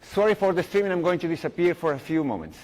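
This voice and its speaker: A middle-aged man speaks into a stage mic at a conference, his voice sitting a little high for a man. He talks in English, cracks jokes and restarts sentences as he walks the room through a technical idea. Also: sing-song, quick pace, a little mellow, slight background noise, poor audio. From Chile. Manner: playful, jokey, frequent fillers and restarts. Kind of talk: live technical talk with audience questions.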